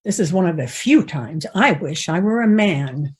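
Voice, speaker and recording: A feisty American frontier dame in a Western radio play. Her voice is lowish and husky, her delivery blunt and pointed. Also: animated, normal speed, a little mellow. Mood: scornful. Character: feisty, sharp-tongued, protective frontier woman. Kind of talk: Western radio drama acting.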